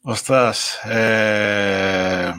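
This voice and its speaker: A man's voice: lowish voice, fairly even intonation, extremely slow, pushed and bright, clear, resonant tone. A man with an accent from Spain chatting as a podcast guest in a wry, easygoing way. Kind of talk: casual interview chat.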